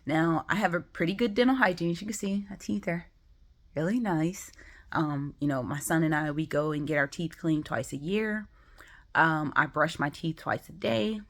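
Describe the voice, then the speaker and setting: A woman's voice: low-pitched, a bit bright. A woman with a congested, sniffly voice talking casually to camera at home, rambling with many ums. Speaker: vlogger. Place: home, just woke up.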